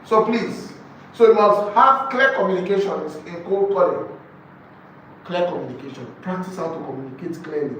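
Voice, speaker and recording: A man's voice: sits high, moderate pace, fairly bright tone. A man with a Nigerian accent teaching a training session, emphatic, repeating key phrases and putting rhetorical questions to his listeners. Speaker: real estate sales trainer. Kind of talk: live training lecture to a group. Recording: echoey room, distant mic, faint noise.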